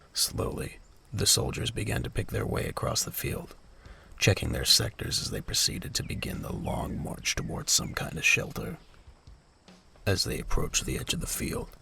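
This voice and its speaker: A man's voice: rumbling low, gravelly, sharp tone, a little hiss or hum. A man reading scripted narration for a military audio drama as its narrator. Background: music.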